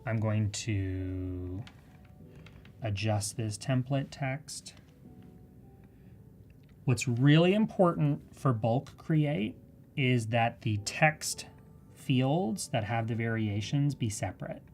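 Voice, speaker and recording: Female live tutorial host, Canadian accent, low-lying voice for a woman, chatty and keen, conversational delivery. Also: animated, slow, pauses often, darkish tone, faint music behind. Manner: chatty, enthusiastic. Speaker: presenter of a live software tutorial. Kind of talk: live demonstration, casual and conversational.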